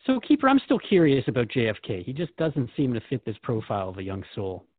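Earnest male host with animated intonation. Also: mid-range voice, fast, husky, no room sound, narrow, tinny audio. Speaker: host interviewing a guide. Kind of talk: conversational podcast interview.

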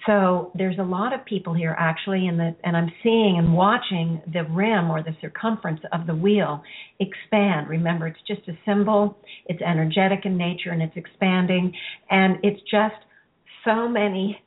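A woman with a hoarse, raspy voice hosting a show and calmly leading a guided healing meditation, pausing and restarting her sentences as she goes. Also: fairly low pitch. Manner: calm, instructive, unhurried, with restarts. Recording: tinny phone-like line.

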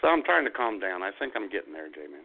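Animated phone-line male radio host. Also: low voice, fast talker, breathy edge. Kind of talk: casual comic banter. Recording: thin phone-like radio audio.

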